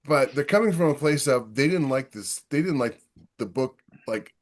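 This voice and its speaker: A man's voice: mid-range voice, expressive, normal speed. A man hosting a casual podcast chat, making his points emphatically and with repetition. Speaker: podcast host and author.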